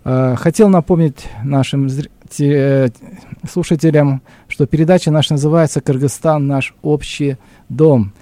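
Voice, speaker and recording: A man hosting a live radio talk show with a formal, measured announcer delivery, speaking into a studio microphone. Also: a little high, fairly even intonation, warm tone, no room sound. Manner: measured, announcer-like reading of numbers.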